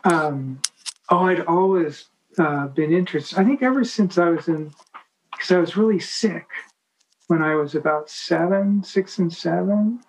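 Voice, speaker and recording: Halting man telling a rambling story. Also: fairly high pitch, slight background noise, low-quality recording. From United States. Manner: halting, many restarts and fillers. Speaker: guest. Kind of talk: casual storytelling.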